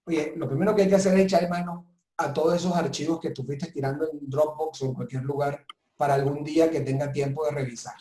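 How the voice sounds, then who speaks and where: Man in an echoey room, mellow voice. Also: a little high, steady tone, a little fast. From southern Italy. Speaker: business consultant, guest. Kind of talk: webinar interview, giving advice. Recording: roomy, echoing sound.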